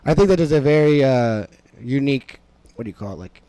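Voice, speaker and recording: A man's voice: medium pitch, lively intonation, fairly quick, mellow, no echo, rough recording. A young man whose first language was Amharic, a comedian talking casually in an interview, rambling and profane with many fillers. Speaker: stand-up comedian, podcast guest.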